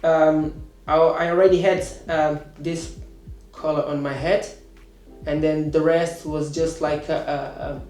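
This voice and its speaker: Student, 30s, halting English, in an echoey room over music. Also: male voice, a little high, level tone, darkish tone. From Portugal. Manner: hesitant, many fillers and restarts. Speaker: course student. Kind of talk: casual interview answers.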